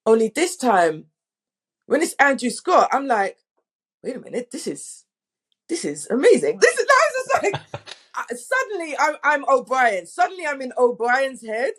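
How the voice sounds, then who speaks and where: A woman's voice: sits high, sing-song, normal speed, fairly bright tone. A woman speaking as a drama director, telling candid anecdotes in an informal, emphatic way and acting out quotes.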